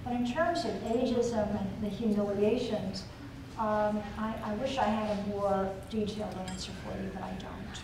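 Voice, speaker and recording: A woman's voice: medium pitch, fairly even intonation, moderate pace. An older woman giving a keynote lecture and answering audience questions, thoughtful and hesitant with many fillers. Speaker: keynote speaker. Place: large conference hall. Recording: echoey hall, voice from a distance, noisy.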